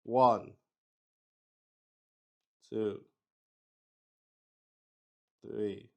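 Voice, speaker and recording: A man hosting a solo tabletop roleplaying game in casual, halting live-stream chat, thinking aloud and repeating words. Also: on the low side, steady tone, gravelly, dull audio. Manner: swears casually.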